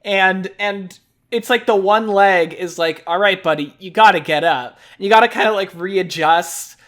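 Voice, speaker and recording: A man co-hosting a podcast in casual chat, rambling along with stammered restarts and quick laughs. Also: high voice, a bit bright. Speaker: podcast co-host.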